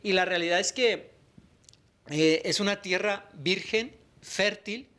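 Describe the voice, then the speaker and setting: Give me a man with a slightly high voice, talking as a guest in a TV studio. He is thoughtful and measured, pausing with fillers as he explains his film ideas. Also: bright, forceful tone. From Mexico. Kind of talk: TV interview answers.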